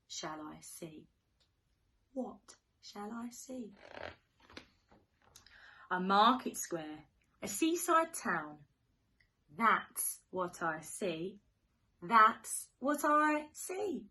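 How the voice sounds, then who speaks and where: A woman teacher reading a picture book to young children in an expressive sing-song way, with questions, gasps and hushed asides. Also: medium pitch. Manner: animated, questioning, dramatic gasps and hushed near-whispers.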